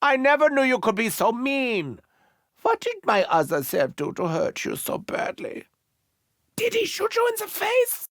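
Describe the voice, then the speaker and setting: A manic German doctor with wild swoops. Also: male voice, very high-pitched, wildly swooping pitch, a little slow, a bit bright, dry recording, clean studio sound, heavily acted. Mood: mock-offended. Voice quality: theatrical, swooping, mock-wounded. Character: manic unhinged German male doctor, vain and gleeful. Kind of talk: video game voice lines.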